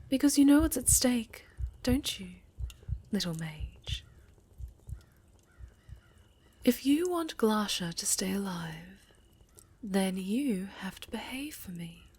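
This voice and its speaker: Breathy female villain, acted by a woman, unhurried, soft-spoken, silky menace, haughty dark mage, mockingly sweet, whispery fantasy ASMR roleplay. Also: mid-range voice. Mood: threatening, condescending. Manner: condescending, silky, menacing, drawn-out.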